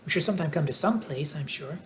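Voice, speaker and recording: A woman's voice: low-pitched, expressive, fast talker, a bit bright, a little hiss or hum. A woman reading aloud and voicing an earnest, hopeful young girl.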